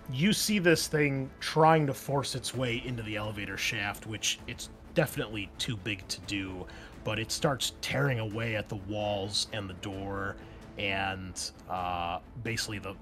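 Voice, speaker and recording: A man with a fairly low voice runs a tabletop roleplaying session as game master. He improvises his narration in a halting, conversational manner. Faint music plays behind him. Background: background music.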